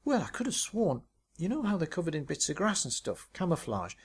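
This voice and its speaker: A man's voice: fairly high pitch, wildly swooping pitch, brisk, slightly rough, voice pushed up, full character voice. A man voicing a chatty, naive young woman with a colloquial, exclamatory way of talking. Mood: chatty, excited. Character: chatty, giggly young woman paintball player, naive and blunt.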